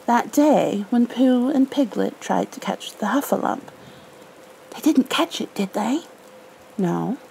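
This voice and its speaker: A woman softly reading a storybook and talking tenderly in a soothing, motherly ASMR roleplay. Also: fairly high pitch, expressive, slow pace, creaky, low-quality recording. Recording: faint background noise, rain ambience.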